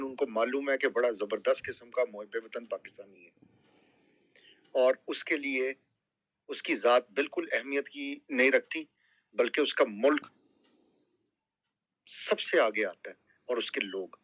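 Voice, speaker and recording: A man with a Pakistani accent in a live political discussion, speaking emphatically and deliberately, often checking that listeners agree. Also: mid-range voice, fairly bright tone, dry recording. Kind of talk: impassioned political talk in a live group audio discussion.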